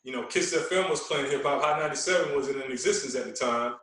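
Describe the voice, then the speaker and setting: A man's voice: mid-range voice, fast talker, a bit bright. Echoey, distant-sounding New man telling stories. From Queens, New York. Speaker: podcast guest, radio personality. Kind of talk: animated casual storytelling. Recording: very echoey room, distant from mic.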